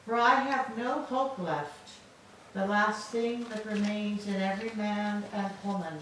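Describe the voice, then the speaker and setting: A woman playing a proud, despairing young widow held captive, speaking in formal, measured declamation in a staged reading of Greek tragedy. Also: medium pitch, steady tone, fairly bright tone, natural voice. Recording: echoey hall, distant mic, faint noise.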